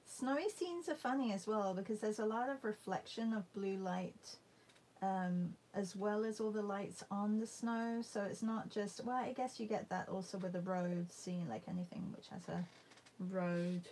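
A woman talks through a live painting demo in a medium-pitched voice with plenty of fry. She is halting and musing, half speaking to herself as she works. There is a faint noise behind her. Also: darkish tone. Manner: halting, musing, murmurs to self while working. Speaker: art demonstrator. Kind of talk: live painting demo, casual thinking aloud. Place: indoors at a painting table.

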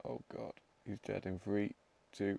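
A teasing man on game chat with a gravelly, low, mellow voice who keeps laughing at his friend. Faint game noise plays behind him. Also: level tone, a little slow. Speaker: gamer and video host. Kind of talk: casual chat over voice chat while gaming.

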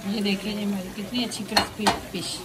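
A woman's voice: mid-range voice, level tone, a little fast. A woman giving a casual step-by-step cooking demonstration in a home kitchen, often repeating her phrases as she shows each step. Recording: noisy home kitchen recording.

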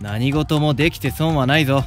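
An actor playing a confident, kindly senior samurai student with a Yamaguchi accent and old-fashioned turns of speech, in a voice drama. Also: male voice, mid-range voice, moderate pace, faint music behind, natural voice. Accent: Yamaguchi (Choshu). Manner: old-fashioned, breezy authority. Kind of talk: anime-style voice drama.

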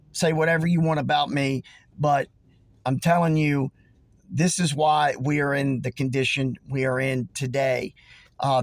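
A man in his forties, hoarse from losing his voice, preaching earnestly and emphatically to a phone camera from inside his car. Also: fairly high pitch, no room sound. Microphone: phone held close.

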